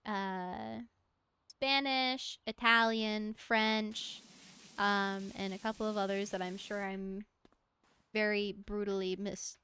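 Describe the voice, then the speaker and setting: A woman's voice: medium pitch, steady tone, takes it slowly, bright, forceful tone, clean tone. A young woman streaming a game from home, chatting casually in a rambling, giggly way and talking to objects in the game. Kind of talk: casual chat while gaming.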